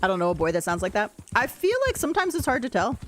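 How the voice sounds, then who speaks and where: A woman with a deep voice, chatting casually and rambling while she plays a game on a livestream and answers her chat. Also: big pitch swings, fast talker, faint music behind. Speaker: streamer. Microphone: desk mic, no camera.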